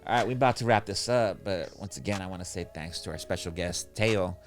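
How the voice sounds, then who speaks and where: A man's voice: deep voice, a little fast, faint music behind. A man hosting a podcast, chatting in a laid-back, slangy, rambling way. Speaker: podcast host. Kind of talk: casual interview chat.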